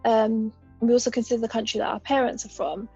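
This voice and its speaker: Brief: woman, 30s, raised partly in Uganda, husky and fairly high voice, measured explainer, dull lo-fi podcast sound. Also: fairly even intonation, darkish tone, faint music behind. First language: a Ugandan language. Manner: thoughtful, explanatory, lays out examples. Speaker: podcast guest. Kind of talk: conversational interview.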